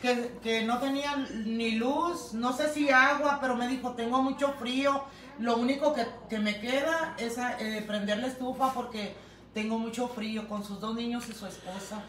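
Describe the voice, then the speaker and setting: A woman explains her cooking in a relaxed, homey way. Her voice sits a bit high. The phone picks her up across a kitchen that echoes, with a faint background hum. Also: normal speed. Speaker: home cook and channel host. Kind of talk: casual cooking livestream chat. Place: home kitchen, indoors. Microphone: phone camera held by helper across the kitchen. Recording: roomy kitchen echo, faint room noise.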